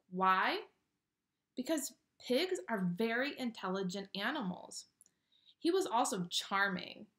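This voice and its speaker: A woman reading a children's picture book aloud with expressive, dramatic storytelling delivery. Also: medium pitch.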